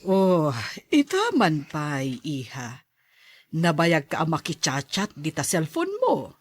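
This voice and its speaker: A woman playing a teasing middle-aged mother eager for a grandchild in an audio drama, with drawn-out, chuckling delivery. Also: deep voice, wildly swooping pitch, no echo, clean studio sound, full character voice. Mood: amused teasing.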